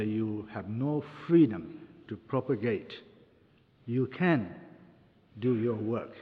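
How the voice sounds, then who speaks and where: Older male ministry worker, Japanese first language, slow and deliberate, addressing an audience; lo-fi hall recording. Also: lowish voice. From Taiwan. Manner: slow, deliberate, lists things one by one. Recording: dull lo-fi recording with long hall reverb.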